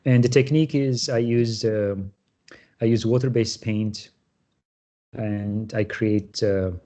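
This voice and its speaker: A middle-aged man, a guest artist explaining his work on a panel, halting with many fillers and restarts. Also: fairly low pitch, fairly even intonation, mellow. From Syria. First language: Armenian. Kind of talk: panel talk about his artwork.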